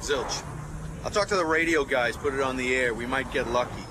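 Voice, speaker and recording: An actor playing a businesslike American homicide sergeant in a radio drama, asking clipped, watchful questions. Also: male voice, mid-range voice, a little fast, lightly acted. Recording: outdoor noise with traffic behind.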